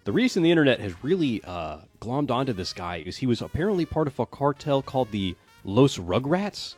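A man co-hosting a podcast, reading out news items in relaxed, hesitant chat. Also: medium pitch, a little fast, faint music behind. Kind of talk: casual news rundown chat.

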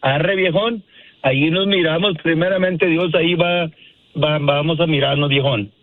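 A hoarse man with a Jalisco Mexican accent, calling in by phone and talking in a relaxed, folksy, friendly way. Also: on the high side, normal speed, dry recording. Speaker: caller. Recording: dry muffled phone line.

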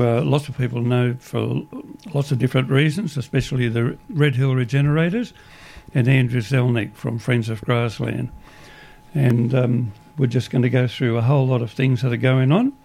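An Australian man with a gravelly, croaky voice runs a community radio show. He rambles through announcements, often restarting his sentences, and sounds upbeat about good news. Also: medium pitch, fairly even intonation, normal speed, a little mellow.

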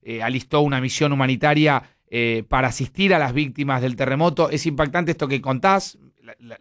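A man's voice: mid-range voice, a bit bright. A man with an Argentine accent hosting a live news interview, speaking with many fillers and restarts. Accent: Argentine Spanish. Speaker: radio news host.

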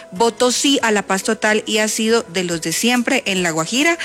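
A woman's voice: medium pitch, a bit bright. A woman delivering a scripted news report as a broadcast reporter.

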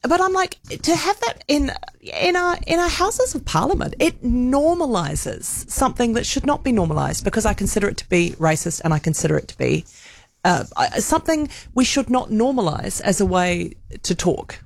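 A woman's voice: a little high, big pitch swings, normal speed, gravelly. A woman with a New Zealand accent, talking earnestly as a panelist in a casual discussion. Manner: earnest, restarts sentences when making a point.

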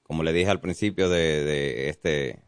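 Dominican guy cop on radio, real deep voice, calm, lots of um's, dry mic. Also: a little slow, clear, resonant tone, no room sound. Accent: Dominican Spanish. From Dominican Republic. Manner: explanatory, calm, many fillers. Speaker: police officer guest. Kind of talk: informative radio interview. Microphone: studio mic.

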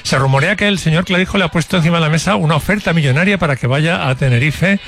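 A man's voice: a little high, quick pace, a bit bright. A man hosting a radio show, questioning a guest in a playful, mock-serious way. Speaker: radio host. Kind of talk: radio comedy interview.

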